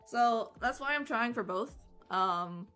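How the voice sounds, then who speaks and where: Hoarse, bright-voiced woman streamer. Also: fairly high pitch, lively intonation, slow, faint music behind. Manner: rambling with many fillers and restarts. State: hoarse, voice worn out from screaming. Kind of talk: casual chat while drawing on stream.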